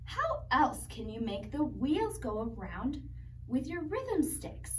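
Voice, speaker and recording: A woman's voice: high voice, big pitch swings, slow pace. A woman in her thirties teaching a children's music class, singing a sing-along song and making playful animal and robot sounds. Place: studio set with plants and toys, indoors. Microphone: camera a few metres away. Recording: distant mic, faint room noise.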